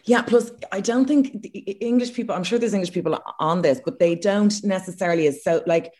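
A woman's voice: mid-range voice, a little fast, darkish tone. Frank, crude Irish woman chatting. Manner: frank, crude and self-mocking. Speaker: podcast co-host. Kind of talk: casual comedic chat.

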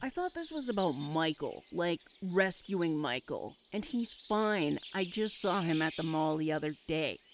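A woman's voice: low voice, big pitch swings, a little slow, few pauses, a little hiss or hum. A woman playing a young, agreeable, slightly dim henchman in a comic audio drama, slangy and hesitant. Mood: timid doubt. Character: young, dim, agreeable male sidekick henchman, timidly questioning.

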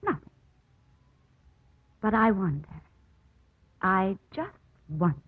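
An actress playing an ambitious, suspicious, domineering politician's wife in a 1950s radio drama. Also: female voice, mid-range voice, sing-song, slow, slightly rough, phone-quality sound, full character voice. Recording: thin, band-limited vintage radio recording.

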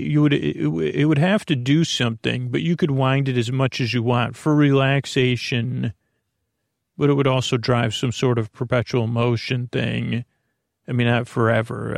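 A man delivers a meandering bedtime monologue as a podcast host. His voice is full of creak and sits at an ordinary male pitch. He halts, repeats himself and wanders back to where he left off, all in a very dry recording with no room sound. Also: no room sound. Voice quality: gravelly, creaky voice. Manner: halting, repeats words, loses the thread and circles back.

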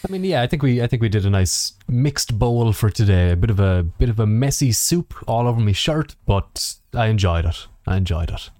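A man's voice: fairly low pitch, lively intonation, a little fast, hardly any pauses, dark tone, no echo, clean studio sound. A man co-hosting a podcast in casual chat, with a jokey, self-deprecating manner. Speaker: podcast co-host.

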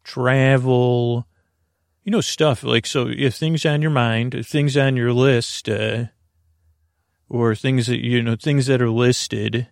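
Male sleep podcast host: gravelly creak, close dry sound, soothing and wandering monologue. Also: medium pitch, fairly even intonation, dry recording. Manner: meandering, halting, soothing, full of tangents. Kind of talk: slow rambling bedtime monologue.

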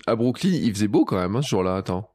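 A man hosting a casual podcast conversation, chatty and animated in his storytelling. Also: lowish voice, quick pace, dry recording. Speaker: podcast host.

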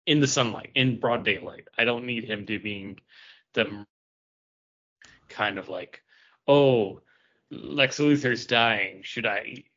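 A man's voice: medium pitch, slow pace, long pauses, a bit bright, dull audio. A man co-hosting a podcast with casual, opinionated talk about comics. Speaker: podcast co-host. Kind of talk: casual comic book chat.

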